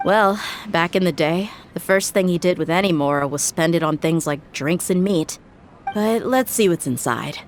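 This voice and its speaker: A woman's voice: fairly low pitch, normal speed, over music, close to an everyday voice. A woman playing a tough, blunt young mercenary in a video game, dry, frank and wry. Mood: curious.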